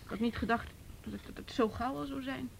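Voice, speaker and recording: A woman's voice: fast, gravelly, rough recording. An actress in a radio play playing an anxious, distraught mother who stammers and repeats her words. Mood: worried. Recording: faint noise and low rumble.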